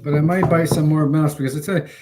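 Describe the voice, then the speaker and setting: A man in his fifties from Canada, giving a casual, unscripted product demonstration in a halting, rambling way. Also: fairly high pitch, steady tone. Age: 50s. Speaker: hobbyist video host. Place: indoors at home. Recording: home recording with faint background noise.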